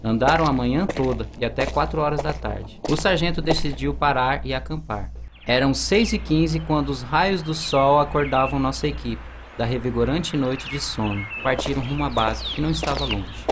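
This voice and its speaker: A man's voice: medium pitch, normal speed, background noise. A young man with a Brazilian accent reading scripted narration for an amateur radio drama. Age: young adult. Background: music.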